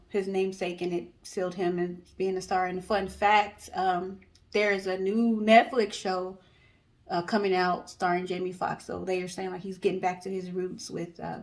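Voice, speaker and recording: Casual woman co-hosting a storytelling show. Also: lowish voice. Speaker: co-host of a storytelling guessing show.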